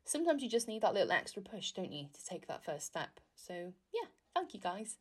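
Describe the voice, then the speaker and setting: A woman's voice: medium pitch, big pitch swings, fairly quick, slightly rough. A young woman from Wales, whose first language is Welsh, hosting a casual solo podcast in a chatty, self-deprecating, rambling way. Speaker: podcast host.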